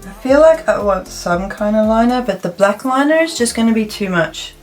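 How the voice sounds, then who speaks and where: A woman's voice: mid-range voice, animated, moderate pace, a little mellow, faint music behind. A woman in her thirties from South Africa, chatting and thinking aloud as she does her makeup in a get-ready-with-me video. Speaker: beauty vlogger. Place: plain indoor room.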